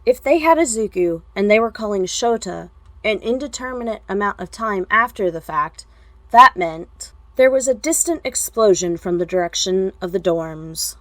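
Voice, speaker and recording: A woman reading scripted fiction as an amateur narrator, with wry, expressive storytelling. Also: mid-range voice, moderate pace, no room sound.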